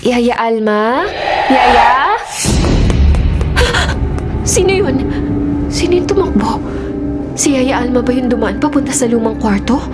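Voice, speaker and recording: A woman playing a gentle, frightened young girl in a radio horror drama, emotional and pleading in delivery. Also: a little high, lively intonation, slow, pauses often. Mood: uneasy searching. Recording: loud dramatic music bed under the voice.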